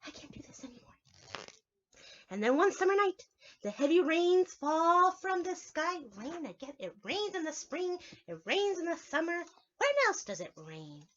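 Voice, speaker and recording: A woman teacher reads a picture book to preschoolers in a very high voice. Her pitch swoops widely as she stretches words and talks directly to the children. The sound is slightly dull and lo-fi. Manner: expressive, drawn-out storytelling, addressing children directly. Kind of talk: picture-book read-aloud for young children, with asides and questions.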